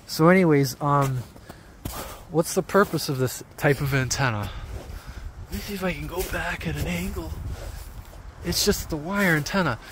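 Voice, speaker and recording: A man giving a casual, off-the-cuff how-to explanation outdoors in an informal, rambling, halting way. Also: a little high, a bit bright. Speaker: hobby radio vlogger. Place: outdoors among trees. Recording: outdoor handheld video with background noise.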